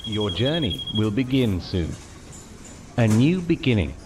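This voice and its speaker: Slow, portentous actor as a male announcer. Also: lowish voice, big pitch swings. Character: portentous announcer promising a new world. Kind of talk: audio drama voice-over.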